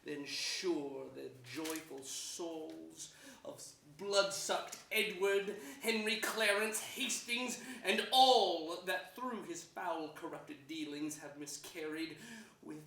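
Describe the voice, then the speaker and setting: Bitter nobleman played by a man, sharp and deliberate classical stage declamation in a roomy theater. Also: fairly high pitch, gravelly, a little hiss or hum, full character voice. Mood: bitter, vengeful. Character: bitter, wronged nobleman cursing a tyrant. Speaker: stage actor. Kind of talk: declaimed Shakespearean staged reading. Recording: echoey theater hall.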